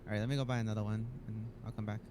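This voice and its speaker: Man playing a brisk, casual game character. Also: lowish voice, flat delivery, close to an everyday voice. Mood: casual, busy. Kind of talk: improvised in-character game roleplay. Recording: clear background noise.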